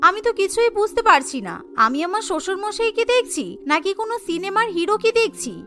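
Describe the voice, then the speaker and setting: Woman as a bright, earnest young daughter-in-law. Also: extremely high pitch, expressive, fast, exaggerated voice. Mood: baffled. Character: young daughter-in-law, peacemaking yet puzzled. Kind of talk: cartoon voice acting.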